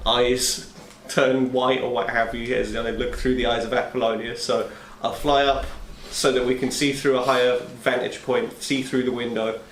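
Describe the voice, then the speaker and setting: A man's voice: mid-range voice, flat delivery, moderate pace, few pauses. A man chatting casually as a player in a tabletop role-playing game, with wry, wordy phrasing. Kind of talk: casual in-character and table chat. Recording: echoey room with faint background noise.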